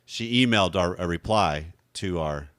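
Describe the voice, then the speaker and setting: A man with a low, deep and slightly hoarse voice hosts a podcast in an easygoing, rambling way, often stopping to correct himself. The recording is dry and close, with no room sound. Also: normal speed, fairly bright tone, dry recording. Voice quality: deep radio voice. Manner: conversational, rambling, self-correcting. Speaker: podcast host. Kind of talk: casual podcast talk.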